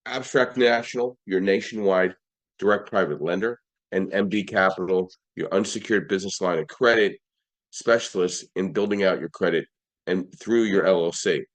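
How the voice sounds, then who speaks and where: A man hosting a live audio-room podcast, his voice a little hoarse, running through sponsors and lists in an upbeat way with frequent restarts. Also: deep voice, normal speed. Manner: upbeat, list-like delivery with restarts. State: slightly hoarse. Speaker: podcast host.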